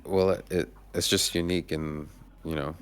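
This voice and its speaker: A man from Nigeria, a guest on a podcast, chatting in a relaxed, reflective way. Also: low voice, normal speed. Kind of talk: casual chat.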